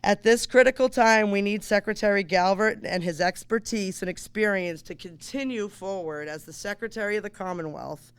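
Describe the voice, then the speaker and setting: A hoarse woman gives a campaign speech on someone's behalf. She talks chattily, breaking off mid-sentence for casual asides, and her voice still comes through smooth and clear. The sound is dry and close. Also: medium pitch, normal speed, hardly any pauses, no echo. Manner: chatty, self-interrupting, informal asides. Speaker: campaign surrogate speaker. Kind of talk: stump speech at a candidates night.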